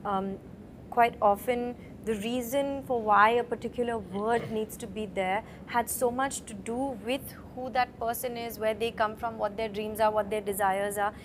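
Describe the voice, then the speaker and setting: A woman, an actress in an interview, answering in a reflective, measured way. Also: on the high side, fairly even intonation, a little hiss or hum.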